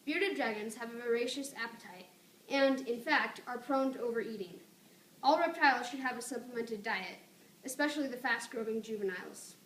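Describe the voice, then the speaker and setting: A woman's voice: on the high side, a little fast. A woman reading out a scripted informative speech, enunciating carefully and stressing key words. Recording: roomy echo, noticeable background noise.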